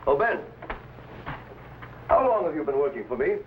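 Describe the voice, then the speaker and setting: Earnest boss, dull vintage recording. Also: male voice, a little high, very animated, normal speed. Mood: fatherly, firm. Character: earnest, proud landowner and boss, fearing ruin. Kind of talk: vintage adventure drama acting. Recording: dull, noisy vintage drama soundtrack.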